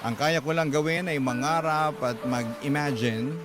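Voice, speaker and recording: A man's voice: fairly high pitch, fairly even intonation, moderate pace. A man hosting a radio drama, reading a sentimental first-person love story in dramatic, scripted phrases. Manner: reads a letter writer's confession in dramatic phrases. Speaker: radio drama host and narrator. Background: prominent music.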